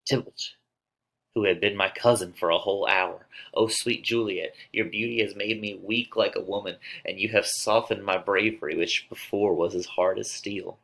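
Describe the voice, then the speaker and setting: A man acts out an impassioned young nobleman in a play reading. The voice is deep with a bright, forceful edge. He declaims with intense feeling. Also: fairly even intonation, moderate pace, vocal fry, rough recording. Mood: rueful. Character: impassioned young nobleman, lovesick turned vengeful.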